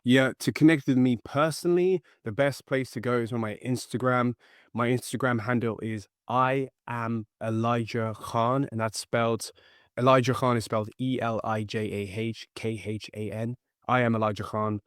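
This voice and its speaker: A man's voice: mid-range voice, fairly even intonation, moderate pace, smooth, no echo, studio quality. A man speaking as a podcast guest in a conversational interview, earnest and emphatic as he gives advice. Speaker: podcast guest, actor and startup founder.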